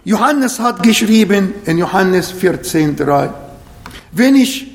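Male preacher, high voice, german, emphatic scripture reading, grammar slips. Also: lively intonation, slow, in a large hall. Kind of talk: sermon.

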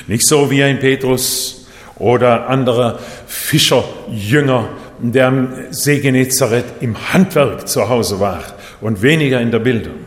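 A man from Japan preaching a sermon in a measured way, his voice rising and falling for emphasis. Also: mid-range voice. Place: church hall. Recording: echoey hall, voice set back.

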